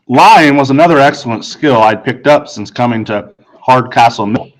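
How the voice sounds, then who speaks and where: A man's voice: medium pitch, moderate pace, rough recording. Man reading a story aloud. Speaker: audiobook reader. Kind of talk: scripted first-person story narration.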